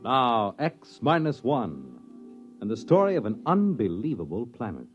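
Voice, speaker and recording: A man's voice: mid-range voice, huge pitch swings, measured pace. A man with an American accent announcing a 1950s radio show in a portentous, theatrical manner. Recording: dull vintage radio recording. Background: loud music.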